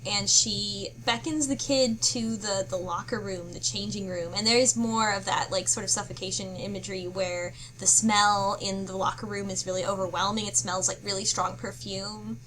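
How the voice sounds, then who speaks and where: A woman's voice: mid-range voice, fairly even intonation, moderate pace, few pauses, a bit bright, low-quality recording. A woman chatting casually as a podcast panelist, recapping a story piece by piece with some hesitation. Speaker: book club podcast panelist. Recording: clear background noise.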